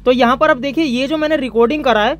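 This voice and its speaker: A man's voice: extremely high pitch, quick pace, fairly bright tone. A man from India, presenting an informal hands-on electronics tutorial as its host.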